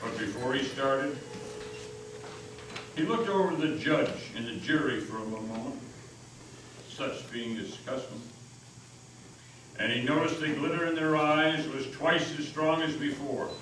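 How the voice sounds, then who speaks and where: Slow-paced male storyteller in echoing hall. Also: mid-range voice, a bit bright. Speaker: narrator. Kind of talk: dramatic reading of a story. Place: meeting house hall. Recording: echoey hall from far off, noisy.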